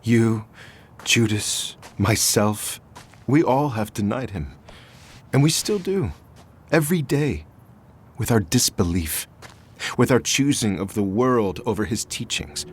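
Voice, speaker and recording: An actor playing the apostle John, comforting a friend in a soft, hushed and soothing way. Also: male voice, lowish voice, animated, slow, long pauses, a little hiss or hum. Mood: consoling. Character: gentle, consoling apostle John. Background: music.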